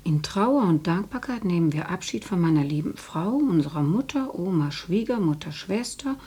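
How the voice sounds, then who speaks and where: A woman's voice: on the low side, lively intonation, moderate pace, mellow, husky. An actress playing an elderly, unflappable housewife and grandmother in a radio drama, speaking in a dry, matter-of-fact way. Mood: reading, musing. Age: older.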